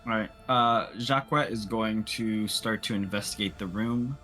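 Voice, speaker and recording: A man's voice: lowish voice, unhurried, sharp tone, faint music behind. A man playing a tabletop game, playful, describing what his character does. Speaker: player.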